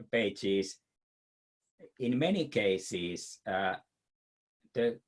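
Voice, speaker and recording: Slow, hesitant Finnish-accented man. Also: deep voice, husky. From Finland. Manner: hesitant, many fillers and restarts. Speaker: presenter answering questions. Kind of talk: webinar Q&A answer.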